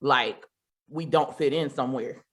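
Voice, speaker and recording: A woman's voice: very deep voice, unhurried, breathy edge. A woman with an American accent chatting casually and bluntly on a podcast, with emphatic, profane turns. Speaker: podcast co-host. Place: studio.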